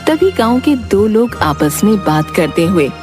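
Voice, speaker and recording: A woman's voice: mid-range voice, measured pace. A woman giving scripted narration for a cartoon story. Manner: steady, storytelling. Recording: background music.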